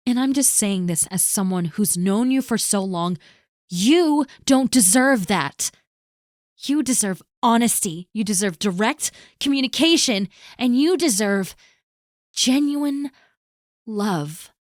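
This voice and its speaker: A woman performing a scripted audio roleplay, gently and earnestly reassuring a friend who is spoken to directly. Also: medium pitch, lively intonation, slow, no room sound, studio quality.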